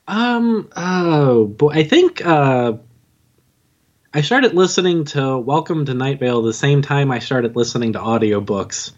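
A man's voice: medium pitch, animated, unhurried, muffled recording. A man chatting informally as a podcast guest about his own writing, with a self-deprecating manner. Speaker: podcast guest, audio drama writer and narrator. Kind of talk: casual interview chat.

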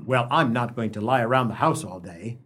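A sardonic small-town husband played by a man in a radio comedy. His mid-pitched voice is husky, and he grumbles in wry, wordy turns of phrase. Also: a little fast, fairly bright tone. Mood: indignant. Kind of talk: comic radio play acting.

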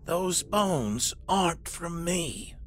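A man playing a menacing, smug old chain-smoking killer with a thin, raspy voice in an audio horror drama. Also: on the high side, animated, heavily acted. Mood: smug menace. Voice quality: raspy, thin, smoke-worn. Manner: slow, breathy, quietly menacing.

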